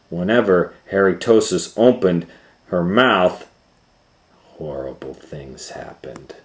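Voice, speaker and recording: Deep-voiced man reading slowly to children. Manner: animated storytelling, chatty asides to the listener. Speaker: storytime reader and host. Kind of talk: children's picture book read-aloud with casual asides.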